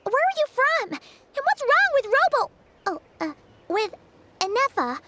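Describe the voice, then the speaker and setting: A woman voicing a small childlike fairy companion in a video game, squeaky, excitable and expressive. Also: measured pace, very noisy, heavily acted. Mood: curious.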